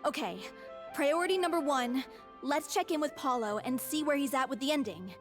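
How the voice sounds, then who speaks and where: A woman voicing a brisk, practical young woman in a game cutscene. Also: high-pitched, natural voice. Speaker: game character. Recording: game cutscene audio with faint music.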